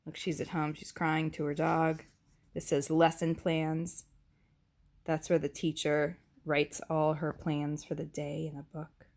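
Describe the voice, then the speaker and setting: Slow, deliberate woman reading a storybook aloud. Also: low voice. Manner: animated, child-directed, asking questions. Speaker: teacher reading a picture book to young children. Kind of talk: expressive read-aloud storytelling with asides and questions.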